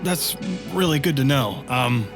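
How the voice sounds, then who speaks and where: A man playing a tabletop roleplay game in character, speaking casually and haltingly. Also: mid-range voice, lively intonation. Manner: hesitant, halting. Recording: music under the voice. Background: background music.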